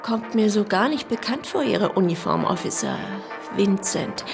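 An actress playing a breezy, nosy motel receptionist in a radio drama. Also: female voice, mid-range voice, huge pitch swings, normal speed, gravelly, over music. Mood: breezy, curious. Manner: breezy, nosy service chatter. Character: chatty, curious female motel receptionist.

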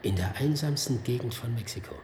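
Actor as an animated, inquisitive male investigator. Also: medium pitch, a little fast, a little hiss or hum, natural voice. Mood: curious. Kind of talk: German radio play acting.

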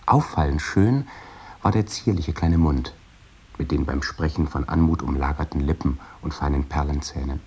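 Low, husky literary male reciter. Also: a little mellow, exaggerated voice. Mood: admiring, descriptive. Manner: measured, ornate old-fashioned phrasing. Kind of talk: literary recitation in a radio drama.

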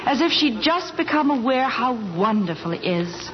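Sentimental, lovelorn radio ingenue. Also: female voice, on the high side, big pitch swings, moderate pace. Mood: wistful. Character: earnest, lovelorn, sentimental young woman. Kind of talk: 1940s radio comedy acting. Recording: muffled, hissy old radio broadcast.

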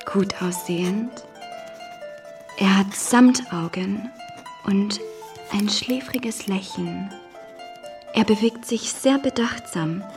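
Actress as dreamy young woman, slow breathy savouring delivery, bit of music under it, radio drama. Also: medium pitch, a little mellow, close to an everyday voice. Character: Lucille, dreamy, admiring young woman diarist. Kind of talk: German radio drama, intimate diary monologue.

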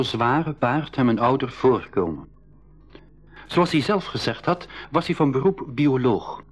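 An actor playing an inquisitive boy adventurer who tells his story in a school radio drama, in an earnest, measured way. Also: medium pitch. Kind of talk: scripted narration and dialogue in 1980s school radio drama.

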